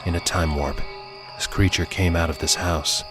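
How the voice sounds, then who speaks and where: A man's voice: deep voice, fairly even intonation, normal speed, over music, lightly acted. A man voicing a solemn, unshakeable time traveler in an audio story, speaking in grave, formal, archaic phrases. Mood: grave, resolute.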